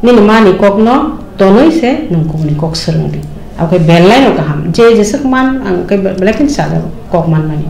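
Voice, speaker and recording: A woman's voice: medium pitch, animated, a little fast, vocal fry, darkish tone, a little hiss or hum. A woman answering interview questions earnestly, slipping English phrases into her talk. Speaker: interview guest. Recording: roomy echo.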